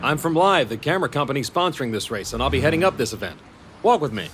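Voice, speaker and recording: An actor playing a confident, slick race event organizer in a video game cutscene, smooth-talking and promotional. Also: male voice, medium pitch, animated, quick pace, lightly acted. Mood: assured, persuasive.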